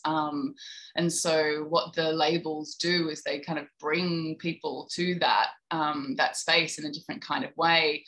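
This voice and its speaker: A woman answering a question on a conference panel, thoughtful and halting with many fillers. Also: deep voice, fairly bright tone, low-quality recording. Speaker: panel speaker.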